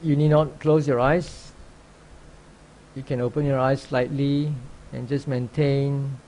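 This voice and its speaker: Calm guy leading a meditation, soft mellow tone w/ some fry, long pauses, slight background noise. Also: mid-range voice, rough recording. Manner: calm, unhurried, with long pauses between short phrases. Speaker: meditation teacher, Buddhist monk. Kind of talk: guided meditation instruction.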